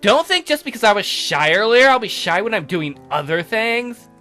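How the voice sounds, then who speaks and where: A man voicing a bossy, eager teenage girl in a romance visual novel, pushy and excitable. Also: sits high, moderate pace, soft background music, exaggerated voice. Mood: defiant.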